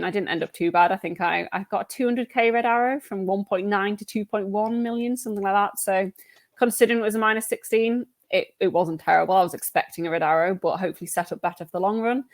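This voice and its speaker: A woman guest on a football fantasy podcast, talking in a relaxed, self-deprecating way with many "um"s. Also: mid-range voice, a little fast, dry recording, clean studio sound. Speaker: guest analyst. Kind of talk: casual chat.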